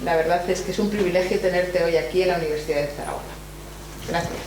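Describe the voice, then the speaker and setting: A woman's voice: fairly low pitch, steady tone, quick pace, a little mellow. Very echoey Spanish woman introducing a speaker. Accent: Spanish from Spain. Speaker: seminar host introducing a guest speaker. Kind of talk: formal academic introduction, partly read out. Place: university library room. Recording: very echoey room, faint hum and noise.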